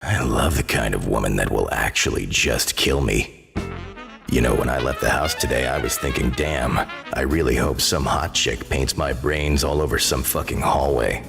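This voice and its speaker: A man putting on a very deep, gravelly voice for a deadpan, darkly lovestruck guy, with slow deadpan delivery. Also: soft background music, heavily acted.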